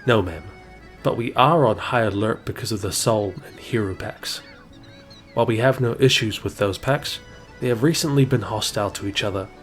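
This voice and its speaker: Guy as a fantasy town guard, deep-ish voice, formal and respectful, on watch, audio rp. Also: moderate pace, soft background music, natural voice. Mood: courteous, guarded. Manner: formal, deferential. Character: courteous, vigilant male gate guard of a pack town. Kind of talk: fantasy roleplay audio drama.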